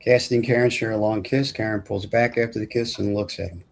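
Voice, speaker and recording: A man with a fairly low voice reads film stage directions aloud. His delivery is plain and matter-of-fact, as scripted narration at a table read. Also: steady tone, fast, gravelly, a little hiss or hum.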